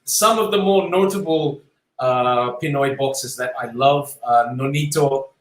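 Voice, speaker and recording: A man in his thirties who grew up in Australia, a guest boxer chatting casually in an interview, relaxed and self-deprecating, with frequent ums. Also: medium pitch, measured pace, roomy sound, rough recording.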